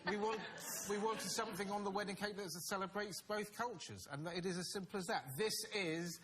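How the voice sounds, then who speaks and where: A man on a comedy panel show delivering a deadpan mock sales pitch. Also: brisk, crowd noise. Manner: deadpan mock salesman, laughing at own jokes. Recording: noisy studio with audience.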